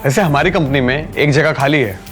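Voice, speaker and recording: A man's voice: a little high, moderate pace, a bit bright, lightly acted. A man acting a scheming, wealthy company owner in a Hindi audio drama, outwardly polite and slipping into English phrases. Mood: falsely friendly. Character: smooth, scheming rich company owner with bad intentions. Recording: music behind the voice.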